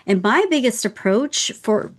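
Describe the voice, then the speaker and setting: A woman's voice: a little high, lively intonation, unhurried. A woman co-hosting a podcast, explaining in casual chat with frequent restarts. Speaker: podcast co-host, accountant.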